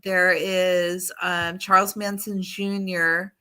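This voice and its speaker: Bright-voiced woman explaining things casually. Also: fairly low pitch, flat delivery, slow pace, clear, resonant tone. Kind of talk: casual chat.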